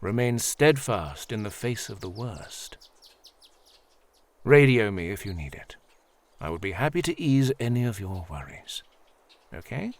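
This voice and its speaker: A man playing a paternal, devout high priest in an audio drama, speaking in a measured, formal and placating way. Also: big pitch swings, slight background noise. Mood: soothing, pastoral.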